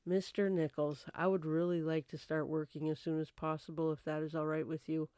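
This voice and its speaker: A woman's voice: sits low, flat delivery, brisk, dark tone, clean tone, no echo, close to an everyday voice. A woman playing a polite, earnest young woman down on her luck, speaking formally in an old-time radio drama. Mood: subdued, determined. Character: polite, earnest young woman, newly destitute, seeking work.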